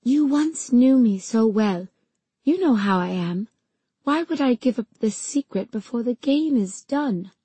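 A woman voicing a cold, vengeful villainess in an audiobook, speaking with calm, soothing, taunting menace. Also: fairly high pitch, measured pace, slightly rough, dry recording, pitched above the performer's own voice.

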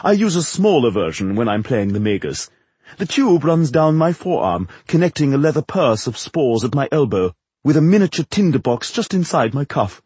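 Casting: male narrator as a grandiloquent aristocratic showman, raised pitch, highly animated, theatrical fantasy storybook flourish. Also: moderate pace, husky, no echo. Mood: proud, showy. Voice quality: exaggeratedly aristocratic delivery. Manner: grandiloquent, wordy, showy.

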